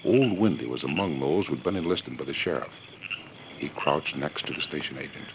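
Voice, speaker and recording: An actor giving dramatic, suspenseful scripted narration for a 1940s radio western. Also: male voice, a bass voice, lively intonation, normal speed, noisy background. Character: grave, suspenseful western storyteller. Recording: muffled 1940s radio recording. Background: loud music.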